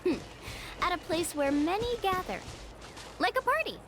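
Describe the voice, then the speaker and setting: Young female tour guide, high voice, lively swoops, cheerful, anime game, light music behind. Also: moderate pace, noisy background. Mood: bubbly, assured. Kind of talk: anime-style video game voice acting.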